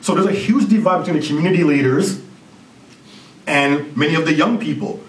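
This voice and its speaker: Energetic Jamaican-accented man in a hall. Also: on the high side, a little fast. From Canada. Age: 30s. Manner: energetic, jokes with audience. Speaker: company CEO presenting. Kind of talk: conference presentation. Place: university lecture hall. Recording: roomy hall recording from far off.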